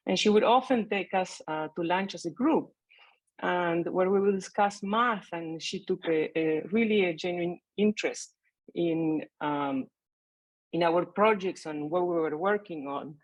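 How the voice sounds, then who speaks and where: A woman's voice: lowish voice, unhurried, no echo, rough recording. Woman on a video call. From Argentina. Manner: conversational, hesitant with many fillers. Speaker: panelist, mathematician. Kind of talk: remote panel remarks.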